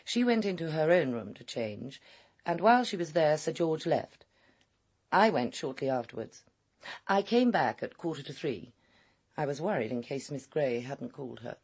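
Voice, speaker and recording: A woman's voice: low-pitched, animated, moderate pace, a little mellow, slightly rough, heavily acted. An actress playing a stiff, guarded lady's maid, terse and formal, in a radio drama. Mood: stiff, cool. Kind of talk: British radio drama acting.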